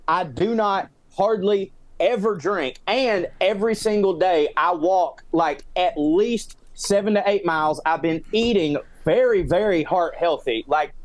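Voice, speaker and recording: A man's voice: high-pitched, a little slow, dry recording. A man with a Southern US accent chatting casually as a podcast co-host, telling rambling, profane stories in a self-justifying way. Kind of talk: casual comedic chat.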